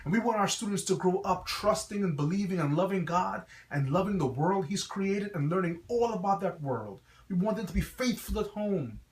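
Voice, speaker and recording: A man's voice: high-pitched, distant mic. A middle-aged man with a slight Guyanese accent gives an earnest, formal address with the emphatic delivery of a preacher. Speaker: school founder and reverend. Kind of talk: earnest promotional address to camera. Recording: echoey, reverberant room.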